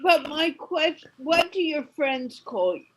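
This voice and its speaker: American woman, host, fairly high voice, slow and friendly, video call. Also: expressive. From United States. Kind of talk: casual video call chat.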